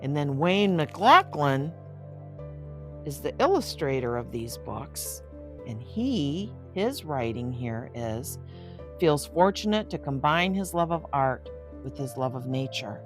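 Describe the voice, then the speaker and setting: A woman's voice: low voice, big pitch swings, slow pace, soft background music. An older woman reading picture books aloud as host, with effusive, exclaiming asides spoken straight to young listeners. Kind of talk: storybook read-aloud with chatty asides.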